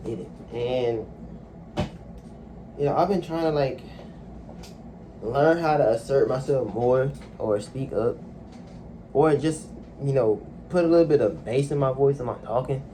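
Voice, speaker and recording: A young man talking casually and haltingly into a phone at home, with a soft, low-key delivery and lots of fillers. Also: a little hiss or hum, rough recording. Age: young adult. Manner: soft-spoken, hesitant, many fillers. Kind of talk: casual personal monologue. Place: home, alone in a room.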